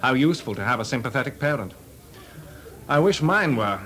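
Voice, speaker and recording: An actor playing a witty young composer in a 1980s radio drama, talking dryly and ironically. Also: male voice, medium pitch, lively intonation, fairly quick, a bit bright, lightly acted. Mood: wry. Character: composer Delius, young man, wry and witty. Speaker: lead actor. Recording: party crowd behind.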